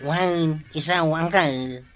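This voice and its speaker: A man's voice: fairly high pitch, slow pace, music in the background. A man with an Ilocano accent playing a busy, questioning village helper in a comedy drama. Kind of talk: barangay comedy acting.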